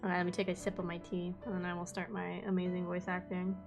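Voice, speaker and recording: A woman's voice: on the low side, monotone, quick pace, vocal fry. A woman streaming a game live who chats casually, jokes and laughs often. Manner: chatty and joking, laughs often. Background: game music.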